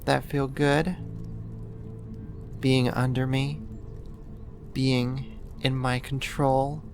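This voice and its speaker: An actor playing an obsessive, possessive neighbor turned kidnapper in an ASMR roleplay, speaking in a deliberate, intimate, controlling way. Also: medium pitch, flat delivery, faint music behind. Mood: seductive, possessive.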